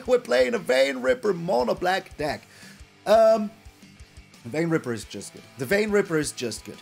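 A young man hosting a card game channel, energetic and emphatic, his voice a little hoarse from a long stream. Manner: hyped, emphatic, swings between loud hype and low asides. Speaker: gaming channel host.

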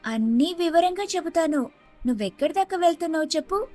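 A woman voicing a sharp-tongued, aggrieved young Telugu wife in an animated moral story. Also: very high-pitched, a little fast, soft background music. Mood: friendly.

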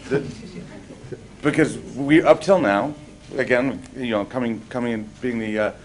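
A man's voice: medium pitch, a little slow, rough recording. A middle-aged man from the United States moderating a finance panel from a lectern, chatty and self-deprecating. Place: conference room at a lectern.

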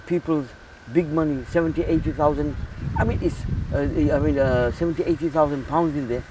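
A man's voice: a little high, steady tone, a little fast, far from the mic, noisy background. A man from Zimbabwe who runs a charity, talking earnestly in a casual interview and often repeating words as he restarts. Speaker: charity founder.

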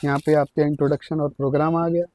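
A shopkeeper in a small indoor shop, calmly explaining his products and prices and affirming the customer's questions with short agreements. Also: a little high, fast. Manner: matter-of-fact, keeps affirming with short agreements.

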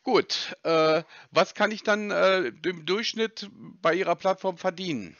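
A man's voice: high-pitched, measured pace, fairly bright tone, no echo. A man posing as a customer on a phone call, probing and mock-naive, arguing and picking apart what he hears. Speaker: scam baiter posing as a customer.

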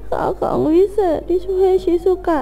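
A woman's voice: brisk, phone-quality sound, soft background music, lightly acted. A woman voicing a timid, whiny, heartbroken schoolboy in a cartoon fan drama, hesitant and pleading. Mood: tearful pleading.

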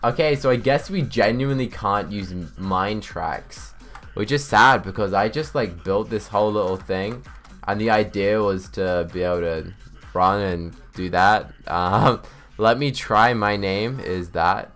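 Low-voiced young man livestreaming a game. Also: a little slow, soft background music. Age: young adult. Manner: chatty, thinks out loud. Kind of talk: casual live gaming commentary, reading chat aloud. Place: indoors, small home room.

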